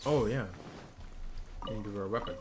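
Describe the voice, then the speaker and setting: A man's voice: on the low side, moderate pace, music in the background. A man giving casual gameplay commentary as a streamer, thinking aloud in short remarks. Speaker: game streamer. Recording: clearly noisy, clicking sounds.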